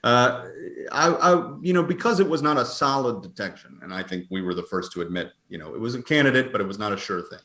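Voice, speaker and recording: Low-voiced man, halting, in an echoey room. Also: animated, fairly bright tone. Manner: hesitant, halting, hedging. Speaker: astronomer, interview guest. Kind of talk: conversational interview answers. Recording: roomy, echoing sound.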